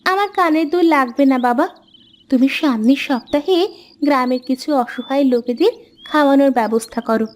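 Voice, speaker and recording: A woman plays a poor but generous village girl from a cartoon. Her voice is extremely high, earnest and pleading, with a wistful, kindly temper. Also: brisk, a little mellow, slight background noise, close to an everyday voice. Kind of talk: cartoon character dialogue.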